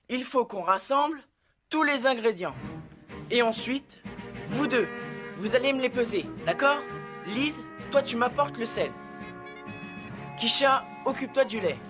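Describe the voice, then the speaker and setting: A woman voicing a bossy, wisecracking cartoon schoolboy who talks in a cocky, chatty way over music. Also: a little high. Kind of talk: cartoon voice acting, French dub. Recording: music under the voice.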